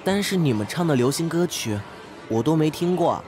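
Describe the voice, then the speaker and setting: A man playing a gentle, bookish male student in an amateur audio drama, speaking politely and earnestly. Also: on the high side, expressive, normal speed, lightly acted. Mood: polite, shy. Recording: music behind the voice.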